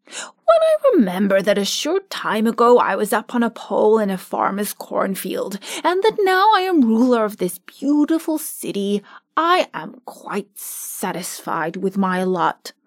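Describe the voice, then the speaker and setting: A woman's voice: mid-range voice, very animated, measured pace. A woman voicing a cheerful male scarecrow who has become a ruler, speaking earnestly in a storybook reading. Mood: pleased.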